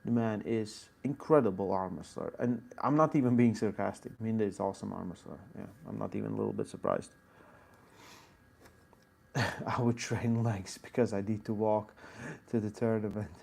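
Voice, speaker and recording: A man's voice: fairly low pitch, steady tone, normal speed, creaky, a little mellow. A man with a Latvian accent, an arm wrestling coach answering viewer questions in a live Q&A, explaining technique in short bursts and reading chat questions aloud.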